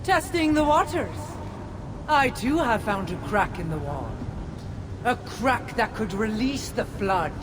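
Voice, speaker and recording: A woman's voice: on the high side, expressive, background noise. An actress playing a menacing, teasing goddess of the underworld in a video game, sly and taunting, drawing out her words. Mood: sly menace. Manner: taunting, drawn-out. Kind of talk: cinematic video game acting.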